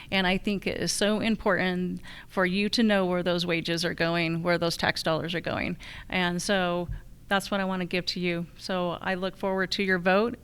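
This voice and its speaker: A woman's voice: fairly low pitch, a bit bright, clean tone. An American woman speaking as a political candidate at a public forum, addressing voters directly. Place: live candidate forum on a college campus.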